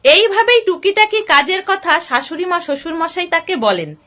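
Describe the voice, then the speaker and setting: A woman's voice: very high voice, animated, moderate pace, a bit bright. A woman narrating a scripted moral folk story.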